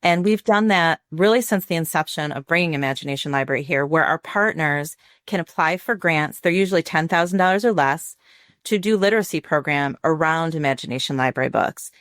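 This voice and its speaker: An American woman with a low-pitched voice talks in a conversational interview style. She explains things as a program director would on a podcast. The sound is dry, with hardly any room on it. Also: fairly quick, a bit bright, no room sound.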